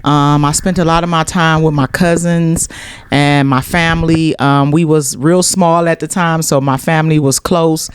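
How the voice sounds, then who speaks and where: A woman's voice: low-pitched, steady tone, moderate pace, smooth. A middle-aged American woman from Cleveland, chatting casually as an interview guest and telling stories. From Cleveland, USA. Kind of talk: casual conversational storytelling.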